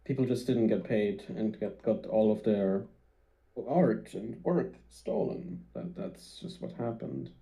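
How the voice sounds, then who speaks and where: Hesitant man with a dark voice. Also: lowish voice, slow, rough recording. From Austria. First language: German. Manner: hesitant, many uhs.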